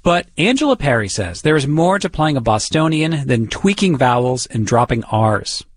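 A man narrating a radio news feature in a measured, conversational way. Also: medium pitch, big pitch swings. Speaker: radio reporter.

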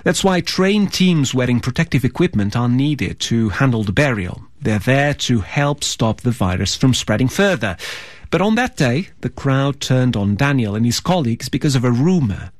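A man reading a scripted news report in a serious, measured tone. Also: mid-range voice, very animated, dry recording.

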